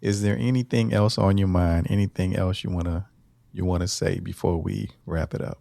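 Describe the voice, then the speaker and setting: A man hosting an interview, asking questions in a relaxed, affirming way. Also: deep voice, normal speed, vocal fry, dry recording. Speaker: interviewer, host.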